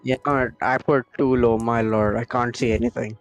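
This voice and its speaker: A man's voice: medium pitch, flat delivery, normal speed, highs cut off, soft background music. A man streaming a game live, chatting casually with joking, exclamatory banter.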